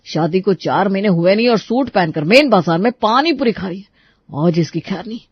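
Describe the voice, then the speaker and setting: A storyteller voicing an angry, controlling young husband with a harsh, accusing manner. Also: male voice, high-pitched, animated, normal speed, husky, no room sound, exaggerated voice. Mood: seething. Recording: dull lo-fi recording.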